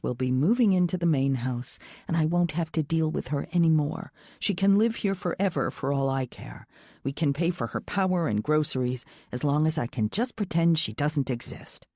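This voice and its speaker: A woman's voice: low voice, warm tone, dry recording, studio quality. A woman reading a first-person thriller audiobook as scripted narration in the present tense.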